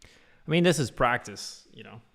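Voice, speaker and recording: A man's voice: medium pitch, expressive, measured pace, gravelly, heavy background noise. A man teaching guitar on a casual livestream, talking loosely with lots of fillers. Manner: loose, rambling, full of fillers.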